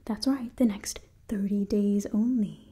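A woman in her twenties giving an enthusiastic ASMR sponsor read in a soft, breathy whisper, close to the microphone. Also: mid-range voice, fairly even intonation, measured pace. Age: 20s. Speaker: ASMR creator and host.

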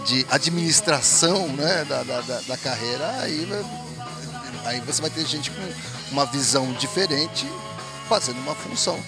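A man's voice: mid-range voice, normal speed, gravelly. A man hosting a music show, interviewing a band in a playful, teasing, theatrical way. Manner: jokey, teasing, theatrical outbursts. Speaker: show host. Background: band music in a rehearsal space.

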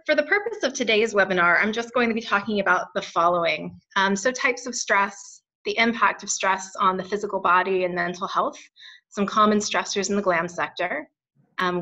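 A woman's voice: medium pitch, sharp tone. An American woman presenting a webinar over a video call, friendly and earnest with many "um" fillers. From United States. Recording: dull lo-fi web call audio.

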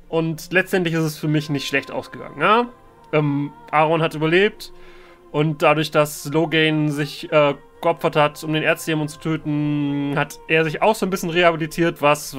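A man's voice: fairly high pitch, fairly bright tone, smooth. A man giving casual let's play commentary, hesitant and rambling as he thinks aloud. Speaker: let's player, role-play gaming channel host. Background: game music.

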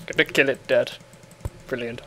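A man's voice: medium pitch, fairly even intonation, moderate pace, bright, forceful tone, a little hiss or hum. Brief: English man, casual gaming banter, giggly and rambling, faint typing behind. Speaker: co-host playing a game.

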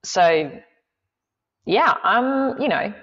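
A woman's voice: mid-range voice, expressive, very slow, fairly bright tone, highs cut off. A middle-aged woman from Switzerland, a movement coach talking enthusiastically as a podcast guest and thinking aloud with many fillers. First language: Swiss German. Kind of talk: casual interview talk.

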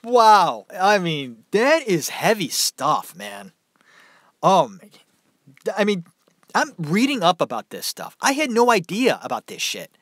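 A man's voice: high-pitched, wildly swooping pitch, takes it slowly, fairly bright tone, no room sound. A man hosting a podcast, telling a story in a loose, rambling way with many fillers, from a small room at home, his voice dry and tiring. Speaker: podcast host. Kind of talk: casual solo storytelling.

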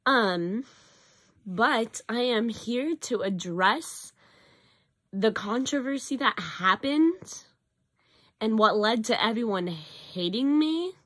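Hoarse teenage girl rambling on webcam. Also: a little high, slow pace. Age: teens. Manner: rambling, self-correcting, animated at times. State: hoarse from a cough. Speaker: vlogger. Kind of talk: casual confessional vlog. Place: small bedroom, indoors. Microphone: built-in webcam or phone mic, close.

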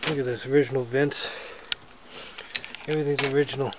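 A man casually showing off his snowmobile in a garage, talking in a relaxed, matter-of-fact way while filming with a handheld camera. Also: mid-range voice, a little fast. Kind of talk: casual walkaround narration. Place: indoors, a garage or shed with windows. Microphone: handheld camera held by the speaker. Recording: noisy handheld camera audio.

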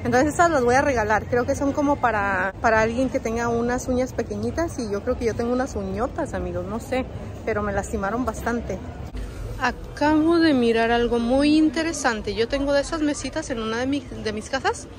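A woman's voice: fairly high pitch, fairly quick, noisy background. A woman, filming a casual walking tour on her phone and chatting to her viewers as friends. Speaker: vlogger. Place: large outdoor swap meet. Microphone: phone camera held while walking. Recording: outdoor market with crowd and music. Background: crowd chatter and music at the market.

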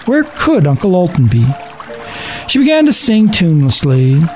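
A man's voice: high-pitched, wildly swooping pitch, moderate pace, music in the background. A man reading a scripted story as a dramatic narrator.

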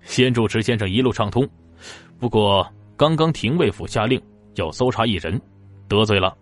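Low-voiced, officious gate commander. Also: male voice, animated, normal speed. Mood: politely firm. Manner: formal, authoritative questioning. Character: watchful, officious male city-gate military commander. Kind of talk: audiobook drama acting. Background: music.

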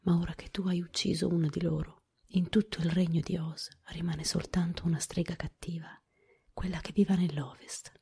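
A woman voicing a kind, calm little old witch in a whispered storybook reading. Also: fairly low pitch, moderate pace, slightly rough. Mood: gentle.

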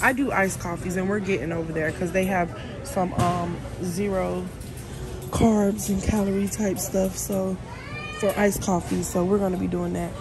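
A woman's voice: lowish voice, steady tone, moderate pace, a crowd in the background. A woman filming a casual shopping vlog in a supermarket, chatting and thinking aloud as she reads labels. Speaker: vlogger. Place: supermarket aisles. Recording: noisy store background.

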